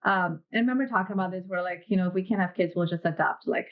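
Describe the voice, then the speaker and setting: A woman in her thirties from Mexico, a podcast guest telling her story in a quick, friendly way. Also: fairly low pitch, phone-quality sound. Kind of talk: casual interview chat.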